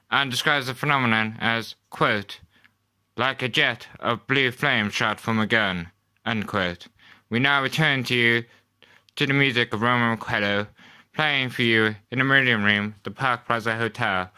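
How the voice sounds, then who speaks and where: A man playing a formal 1930s radio announcer, loud, emphatic and projected. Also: medium pitch, clear, resonant tone, voice pushed up. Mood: showy. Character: urgent, formal male radio announcer of old broadcasts.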